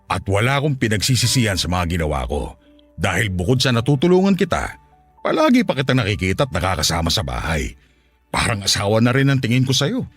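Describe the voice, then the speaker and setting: An actor playing a lustful, coaxing middle-aged Filipino widower in a Tagalog radio drama. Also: male voice, lowish voice, a bit bright, breathy edge, full character voice. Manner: suggestive, coaxing, chuckling seducer.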